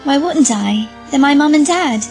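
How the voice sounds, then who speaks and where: Female actor as earnest young girl for audio drama, high-pitched childlike voice over faint scoring. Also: lively intonation, moderate pace, faint music behind. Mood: earnest, subdued. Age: child character.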